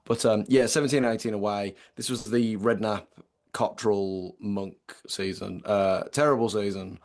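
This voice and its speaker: A man in his late twenties with an English accent and a slightly croaky voice, co-hosting a casual football podcast and chatting with lots of fillers. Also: on the low side, fairly even intonation, unhurried. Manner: chatty, many fillers and restarts. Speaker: podcast co-host.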